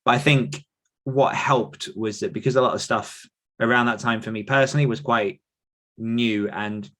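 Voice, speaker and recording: A man's voice: mid-range voice, fairly even intonation, moderate pace, fairly bright tone. A British man in his thirties talking casually as a podcast guest, chatty and anecdotal in manner. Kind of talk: casual conversational interview.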